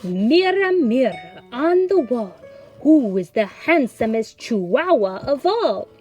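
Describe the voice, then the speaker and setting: A woman's voice: high-pitched, lively intonation, unhurried, faint music behind, voice pushed up. A woman voicing a feisty, boastful young male storybook kitten who is defiant and dramatic. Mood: proud.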